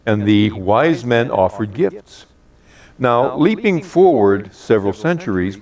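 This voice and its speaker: An older man in his late sixties or beyond speaks as a practiced storyteller. His pitch rises and falls a great deal, and his phrasing is measured and deliberate. The microphone sounds some way off from him. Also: far from the mic. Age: late 60s or older. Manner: measured, deliberate, expressive delivery with drawn-out phrases. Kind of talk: rehearsed personal holiday storytelling to an audience.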